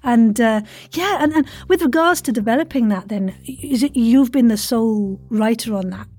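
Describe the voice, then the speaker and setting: A woman's voice: a little high, moderate pace, a little mellow. A woman hosting a chatty interview, enthusiastic and appreciative as she asks questions. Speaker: podcast host, interviewer.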